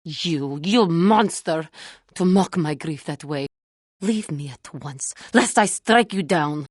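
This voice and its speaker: A proud, troubled female warrior from a fantasy video game. Her voice is on the low side and very husky, with little room sound. She speaks formally and solemnly, as someone burdened by guilt. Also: lively intonation, no room sound, studio quality, full character voice. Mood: angry, defiant. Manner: formal, solemn, deliberate phrasing without contractions. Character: proud, tormented, repentant female fallen Jedi warrior. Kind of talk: dramatic video game character dialogue.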